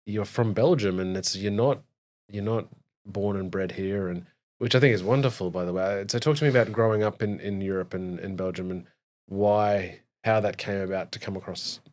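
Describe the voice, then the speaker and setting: A man hosting a podcast, reading a smooth intro and then asking long, rambling questions. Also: lowish voice, steady tone, moderate pace, darkish tone. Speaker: podcast host. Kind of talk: podcast host intro and interview.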